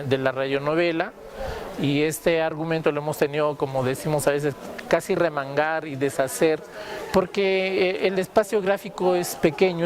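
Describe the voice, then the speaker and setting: A man explains a creative project in an interview, haltingly searching for his words. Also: fairly high pitch. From Peru. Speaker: comic strip creator.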